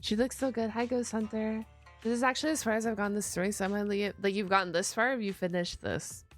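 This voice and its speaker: A woman's voice: medium pitch, steady tone, fast, soft background music. A woman game streamer reacting live in a casual, chatty way and greeting viewers.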